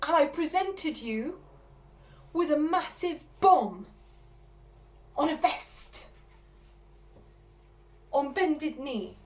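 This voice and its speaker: A woman acting a theatre monologue as a flustered, exasperated partner, halting and repeating herself as she argues. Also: sits high, expressive, exaggerated voice. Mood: indignant, sarcastic. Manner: halting, stammering, repeating herself, bursts of agitation. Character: flustered, exasperated woman answering her partner's unwelcome proposal.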